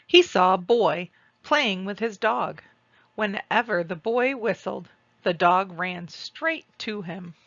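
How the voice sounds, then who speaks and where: A woman reading a children's storybook aloud and describing its pictures, with deliberate, expressive phrasing. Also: mid-range voice, dry recording, muffled recording. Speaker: read-aloud narrator.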